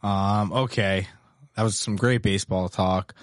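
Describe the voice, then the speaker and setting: A man's voice: on the low side, fairly even intonation, a little slow, dry recording, clean studio sound. A man co-hosting a casual sports chat, loose and profane, often laughing. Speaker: podcast co-host.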